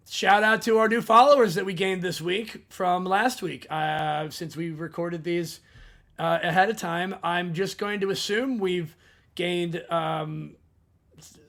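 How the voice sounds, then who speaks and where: A slightly hoarse man co-hosting a wrestling podcast, chatty as he reads out shout-outs and plugs. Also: on the high side. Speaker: podcast co-host. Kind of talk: casual wrestling podcast chat.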